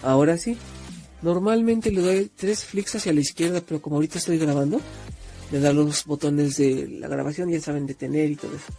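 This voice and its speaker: A man's voice: fairly high pitch, steady tone, brisk, warm tone, faint music behind. A man with a Mexican accent giving an informal step-by-step app tutorial, talking directly to his viewers in a conversational way. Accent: Mexican Spanish. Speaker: tutorial host.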